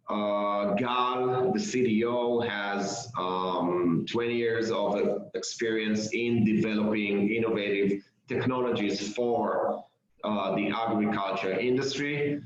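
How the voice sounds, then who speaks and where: A man with an Israeli accent, a startup founder pitching to investors, hesitant with many fillers and restarts. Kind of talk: investor pitch over a call. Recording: echoey, dull lo-fi audio.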